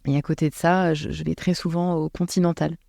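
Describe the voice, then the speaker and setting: A woman speaks in a relaxed podcast chat, her voice grainy with fry and warmly dark in tone. She weighs her words, stumbling and restarting as she thinks aloud. The sound is dry and close to the mic. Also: sits low, brisk, dry recording. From France. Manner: thoughtful, hesitant with many restarts. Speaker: guest. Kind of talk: casual podcast conversation.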